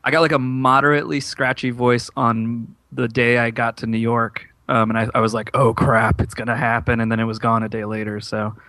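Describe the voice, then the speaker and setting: A man's voice: mid-range voice, flat delivery, fairly quick, hardly any pauses, pushed and bright. A man co-hosting a gaming podcast in casual chat, tired from travel and rambling with fillers and restarts. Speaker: podcast co-host.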